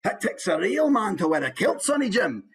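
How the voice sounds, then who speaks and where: Man putting on a high-pitched proud Scottish man. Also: big pitch swings, moderate pace. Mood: bold, proud.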